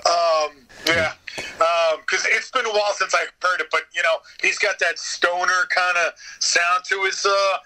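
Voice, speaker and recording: Bright, forceful high-pitched man. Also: unhurried, poor audio. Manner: animated storyteller, slips into acting out bits. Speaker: interview guest, radio theater voice actor. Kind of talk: casual anecdotal interview chat.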